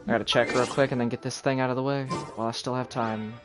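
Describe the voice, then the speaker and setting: A man's voice: mid-range voice, steady tone, fast. A man giving casual live commentary while streaming a video game. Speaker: game livestreamer. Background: video game music.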